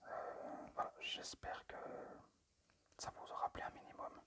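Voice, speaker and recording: Guy whispering asmr style, breathy, kinda hesitant and apologetic, amateur show and tell. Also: unhurried. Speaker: amateur ASMR video creator. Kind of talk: whispered ASMR presentation of a collection.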